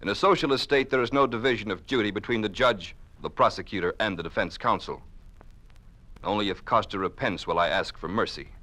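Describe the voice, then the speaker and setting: Servile lawyer, low bright voice. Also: male voice, a little fast. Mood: solemn, accusing. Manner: formal courtroom oratory. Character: deferential, servile male defense lawyer doing the regime's bidding. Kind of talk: old-time radio drama acting.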